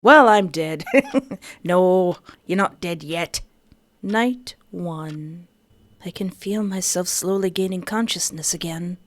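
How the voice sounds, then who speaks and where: A woman's voice: on the low side, slow, no echo. A young woman reading a visual novel aloud and breaking in with playful, joking asides. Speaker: game streamer.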